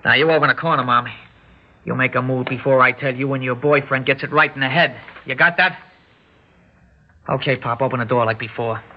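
An actor playing a threatening young armed robber in a classic radio drama, talking in tough, slangy, ungrammatical street talk. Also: male voice, medium pitch, a little fast, slight background noise, natural voice. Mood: threatening, wary. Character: jittery young male armed robber holding hostages, threatening. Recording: muffled old radio broadcast.

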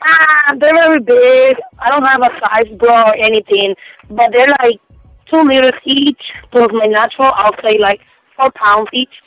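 A woman's voice: fairly high pitch, unhurried, fairly bright tone, faint music behind. A young woman from Cuba with a Spanish accent, talking frankly and giggling as a phone-in guest on a casual radio interview. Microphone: telephone. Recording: dull lo-fi phone line.

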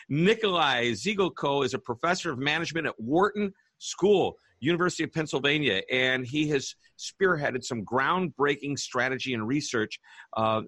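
A man's voice: on the high side, a bit bright, dry recording. A man hosting a business radio show, chatting in an upbeat, enthusiastic way as he introduces guests. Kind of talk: casual interview hosting.

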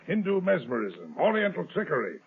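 An actor playing a ruthless, cocky swindler in a 1930s radio drama, hard-boiled, scornful and bullying. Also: male voice, on the high side, huge pitch swings, brisk, gravelly, husky, soft background music, full character voice. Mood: defiant, threatening. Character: ruthless, cocky male swindler and would-be killer. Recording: muffled, narrow-band 1930s radio recording.

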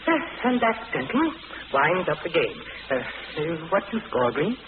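A man's voice: on the high side, sing-song, moderate pace. Gloating madman, noisy tinny recording. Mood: genial, casual. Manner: theatrical, rapturous soliloquies. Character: gloating mad male arsonist posing as kindly club man. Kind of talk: old radio drama acting. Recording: noisy, tinny old radio broadcast recording.

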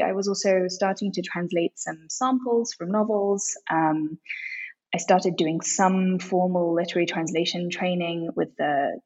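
A woman's voice: on the low side, a little mellow, highs cut off. A woman in her thirties, an author and translator, answering interview questions thoughtfully with frequent ums and restarts. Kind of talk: podcast interview.

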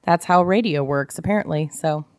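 Female podcast co-host, low register, dry recording; informal, teasing book-club banter. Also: moderate pace, darkish tone, smooth, no echo. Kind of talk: casual book club chat.

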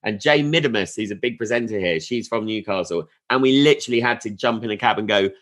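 A man from England hosting a podcast in a friendly, upbeat way. He fires off quick questions and gives cheerful sign-offs. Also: lowish voice, a bit bright. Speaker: podcast host. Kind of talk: casual interview and podcast outro.

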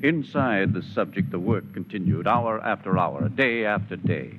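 An actor narrating a science fiction story in a grave, dramatic 1950s radio style. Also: male voice, lowish voice, expressive, moderate pace, noisy background, close to an everyday voice. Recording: dull old radio, music underneath.

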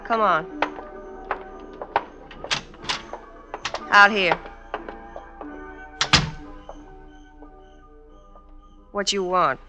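A protective young American saloon woman acted by a woman in vintage radio drama. She speaks tersely and warily in a sharp, clear voice, with faint music behind. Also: medium pitch, normal speed, lightly acted. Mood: wary. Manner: terse, guarded. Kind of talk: old-time radio western acting.

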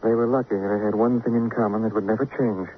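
An actor playing a wry, unflappable doctor, speaking in a dry, measured way in an old radio drama. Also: male voice, lowish voice, steady tone, close to an everyday voice. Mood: grave, candid. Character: wry, unflappable male sanatorium doctor, protective of patients. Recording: tinny vintage radio with faint noise.

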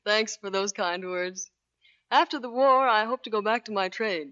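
A woman with a mid-pitched voice speaks as a military guest on 1940s radio, in a scripted interview style. The old recording is dull and lo-fi. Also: normal speed, fairly bright tone. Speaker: Navy officer, radio guest.

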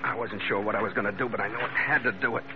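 An actor playing a tough, wry ship's skipper, hero of an old-time radio adventure, with a terse, cool manner. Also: male voice, lowish voice, fairly even intonation, racing, heavy background noise, lightly acted. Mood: grim narrating. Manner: hard-boiled, terse, cool. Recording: muffled vintage radio recording with music.

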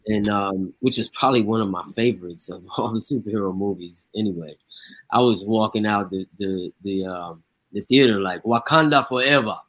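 A man on a chat show, talking in a relaxed, agreeable way. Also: on the low side, normal speed, no room sound, rough recording. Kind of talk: casual chat.

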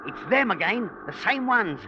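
An actor in an old-time radio drama playing a jittery, doubting truck driver, agitated and slangy. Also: male voice, high voice, very animated, normal speed, sharp tone, full character voice. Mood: panicked. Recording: dull, noisy old radio recording.

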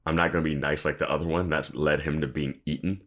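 A man's voice: a bass voice, steady tone, fast, fairly bright tone. A man co-hosting a podcast, commenting informally on a TV episode and given to chuckling as he talks. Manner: informal, laughs often as he talks. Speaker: podcast co-host. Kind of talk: casual chat, TV episode commentary.

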